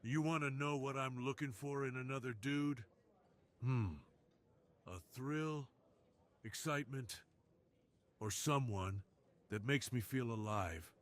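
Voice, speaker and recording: A man's voice: medium pitch, slow pace, long pauses, a bit bright, pitched above the performer's own voice. A man voicing a suave, dominant crime boss in a seductive, self-assured ASMR roleplay. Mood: confident, flirtatious.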